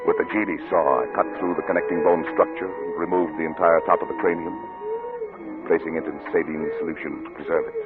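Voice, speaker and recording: A man acting a troubled, obsessive middle-aged doctor and father in an old radio drama, with grave, formal, deliberate delivery. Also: low voice, steady tone, natural voice. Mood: grim, clinical. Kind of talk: 1940s radio drama acting with first-person narration. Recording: muffled old radio recording with music.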